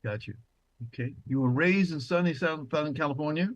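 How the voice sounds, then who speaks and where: A man's voice: a little high, fairly quick, no room sound, muffled recording. A man hosting a talk show, introducing a guest formally and asking interview questions. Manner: courteous and formal.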